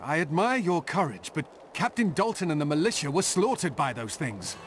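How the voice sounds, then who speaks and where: An actor playing a battle-worn militia captain in a fantasy video game, earnest and commanding. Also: male voice, fairly high pitch, very animated, moderate pace, a little hiss or hum. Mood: grave. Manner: urgent, commanding.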